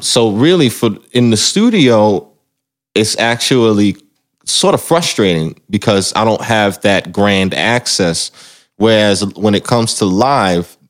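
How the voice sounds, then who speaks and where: Low-voiced man, reflective and conversational. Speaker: musician and singer, interview guest. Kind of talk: casual conversational storytelling about their own career. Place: music recording studio.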